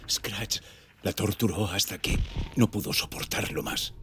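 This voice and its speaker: Guy acting haunted desperate writer in a video game, slow low voice, big swings, noisy background. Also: fairly even intonation, lightly acted. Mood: grim, haunted. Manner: brooding, haunted. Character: tormented male writer, guilt-ridden and desperate. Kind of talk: dramatic scripted game acting and narration.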